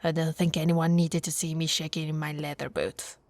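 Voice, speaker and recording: A woman from Italy playing an affectionate, teasing female orc warrior in an intimate, soft-spoken ASMR roleplay. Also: deep voice, level tone, brisk, dry recording, natural voice. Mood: grateful, tender. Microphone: close, dry microphone.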